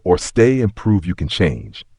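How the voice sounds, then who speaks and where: Guy doing a calm but scary boss man in an audio story, low voice, firm, lots of emphasis. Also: no echo, full character voice. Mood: firm, stern. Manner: measured, firm, crisp. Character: calm, stern male airline CEO, quietly menacing.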